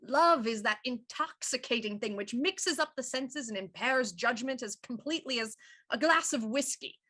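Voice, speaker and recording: A woman playing a shrewd, self-assured Victorian police inspector, explaining deliberately and theatrically in a murder mystery audio drama. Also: fairly high pitch, animated, pushed and bright. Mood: coolly explaining.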